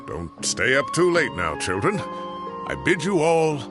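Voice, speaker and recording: A man's voice: mid-range voice, huge pitch swings, a little slow, music in the background. A man voicing a genial, grandfatherly old professor in a video game, folksy and good-humoured with mock seriousness. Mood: playfully huffy. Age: older. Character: genial old professor, proud amateur tomato farmer, grandfatherly.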